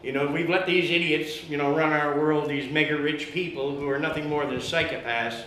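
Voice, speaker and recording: A man speaks loudly and fervently to a meeting, rallying the listeners and peppering his talk with fillers. His voice sits high. The room echoes heavily around him, with a faint hiss underneath. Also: steady tone, moderate pace. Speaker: guest speaker at an activist meeting. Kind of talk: impassioned public speech.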